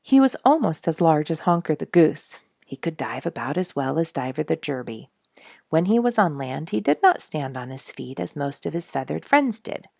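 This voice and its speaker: A woman reading a children's storybook aloud in an expressive, emphatic manner. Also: low voice, moderate pace, creaky, no room sound, clean studio sound. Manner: expressive, emphatic on sound words. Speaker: storybook reader and host.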